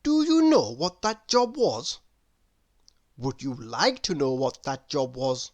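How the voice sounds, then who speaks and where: A woman reading a children's story aloud in an expressive narrating style. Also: a bass voice, takes it slowly. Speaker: storybook reader.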